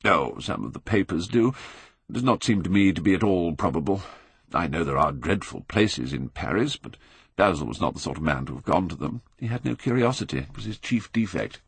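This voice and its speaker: Casting: male narrator playing jaded British lord, older, epigrammatic, low creaky voice, elastic intonation. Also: animated, fairly quick, full character voice. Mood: dismissive. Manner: drawling, epigrammatic, blasé. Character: languid, witty, cynical Victorian aristocrat, older gentleman.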